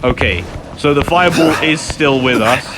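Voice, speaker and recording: A man's voice: mid-range voice, moderate pace, noisy background. A man giving casual, self-deprecating and apologetic commentary while playing a video game. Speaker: gamer narrating a playthrough. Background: loud video game music.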